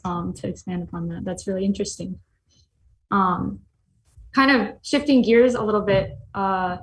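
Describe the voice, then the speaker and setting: A woman interviewing a panel in a casual, polite and appreciative way. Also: mid-range voice. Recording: roomy echo, faint background noise.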